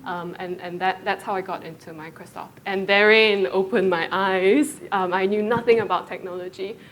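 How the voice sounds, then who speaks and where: A woman's voice: medium pitch, steady tone, normal speed, a bit bright, slight background noise, rough recording. A woman on a panel, chatty and laughing often as she talks about her path. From Singapore.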